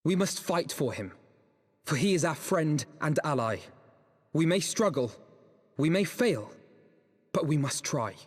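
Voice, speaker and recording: A male actor playing an earnest, resolute young nobleman in a video game, speaking in formal, solemn, measured phrases. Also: a little high, animated, breathy edge, big-room sound. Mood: determined. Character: earnest, resolute young nobleman vowing to save his friend. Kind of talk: dramatic video game cutscene acting.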